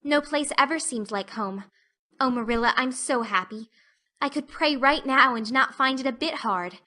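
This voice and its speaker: A woman acts an imaginative young orphan girl in a storybook drama. The voice is clear-edged, bright and fairly high, pouring out earnest, flowery chatter with a dreamy temper. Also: moderate pace. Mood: happy. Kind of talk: audiobook acting.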